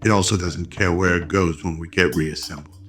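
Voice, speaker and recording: A man's voice: sits low, steady tone, brisk. A man giving scripted dramatic narration in the style of an old radio drama. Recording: loud music under the voice. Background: background music.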